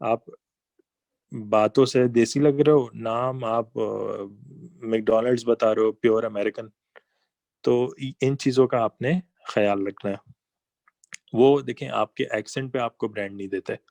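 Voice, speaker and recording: A man's voice: medium pitch, fairly even intonation, measured pace, gravelly, a little mellow, no room sound. A man giving a slide-based business lesson, talking conversationally and explaining with examples and asides. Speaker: online business trainer.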